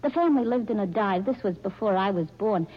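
A woman's voice: mid-range voice, lively intonation, quick pace, husky. A brash, wisecracking city girl. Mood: breezy. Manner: breezy, wisecracking, slangy. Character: brash chatty young working-class woman. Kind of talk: 1940s radio acting. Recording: dull old radio recording.

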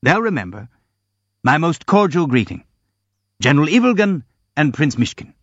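Blustering elderly general, grand and self-pitying, raised pitch, dry and muffled recording; male reader voicing. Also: big pitch swings, moderate pace, a bit bright, dry recording, exaggerated voice. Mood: pompous. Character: elderly blustering boastful army general, pompous.

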